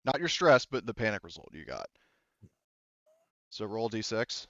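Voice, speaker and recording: A man's voice: on the low side, big pitch swings, moderate pace, fairly bright tone, no echo, lo-fi sound. A man acting as game master of a casual tabletop game, explaining rules and thinking aloud as he goes.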